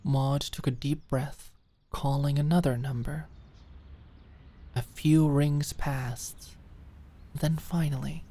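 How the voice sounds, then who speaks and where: A woman's voice: low voice, steady tone, slow, long pauses, warm tone. A woman reading scripted, dramatic story narration as an audiobook narrator.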